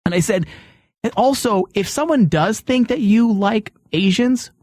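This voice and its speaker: A male talk host with a fairly high voice speaks in a casual, storytelling style. He is opinionated and swears offhandedly. The sound is close and dry. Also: lively intonation, unhurried, a little mellow, dry recording.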